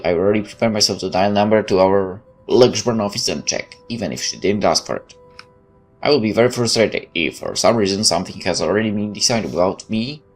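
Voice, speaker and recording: A woman, a native Polish speaker, reading game narration aloud and commenting casually as a streamer, often stumbling and correcting herself. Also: extremely low pitch. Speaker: let's play streamer.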